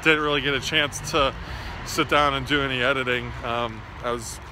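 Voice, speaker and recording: A man's voice: mid-range voice, steady tone, moderate pace, noisy background. A man in his thirties recording a casual video diary on a phone held at arm's length. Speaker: vlogger. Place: outdoors on city residential streets.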